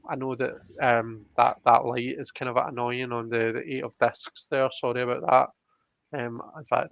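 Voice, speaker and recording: A man's voice: medium pitch, gravelly, fairly bright tone, dry recording. A man hosting a live tarot reading in a casual, conversational style. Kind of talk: casual livestream talk. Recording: dull, muffled lo-fi livestream audio.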